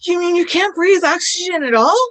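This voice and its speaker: A woman's voice: very high-pitched, a little fast, fairly bright tone. A woman playing an earnest, anxious young female space traveller in a radio play, with a questioning manner. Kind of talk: fan radio play acting.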